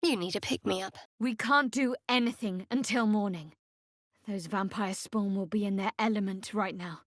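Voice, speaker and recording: A woman voicing a guarded, dry-witted young female cleric in a fantasy video game, measured and wry. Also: medium pitch, no echo, natural voice.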